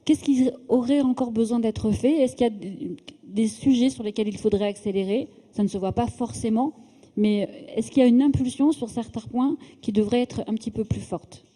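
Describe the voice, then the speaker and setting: A woman's voice: on the high side, normal speed, dark tone, poor audio. A woman interviewing a minister on a forum stage, phrasing her questions politely with frequent fillers. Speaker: interviewer, editor.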